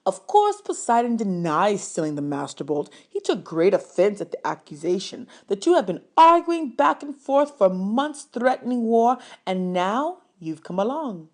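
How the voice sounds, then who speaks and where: A woman's voice: medium pitch, big pitch swings, moderate pace, hardly any pauses, close to an everyday voice. Woman as an old male mentor, stern, learned, formal, emphatic storybook delivery. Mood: grave explanation.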